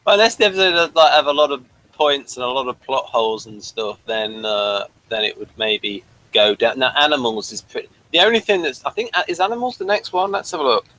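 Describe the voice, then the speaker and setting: A man's voice: medium pitch, brisk, hardly any pauses, pushed and bright, poor audio. A man co-hosting a casual review chat, often stumbling and repeating words as he starts a thought. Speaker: podcast co-host.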